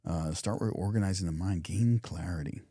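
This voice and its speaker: Man, very deep mellow voice, podcast co-host, reflective motivational chatter. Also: normal speed, breathy edge, no echo, clean studio sound. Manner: reflective, repeats and restarts phrases, softens into quiet imagined thoughts. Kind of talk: casual motivational chat.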